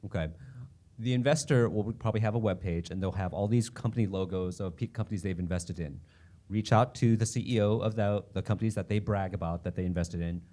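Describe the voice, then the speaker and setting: Low, mellow-voiced male panelist. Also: fast. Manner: practical, conversational, with fillers and "okay?" checks. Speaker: panelist investor. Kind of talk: panel advice.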